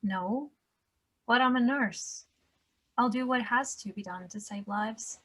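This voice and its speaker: A woman's voice: on the high side, slow pace, breathy edge. A woman playing a tough, no-nonsense nurse in a table read. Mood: matter-of-fact.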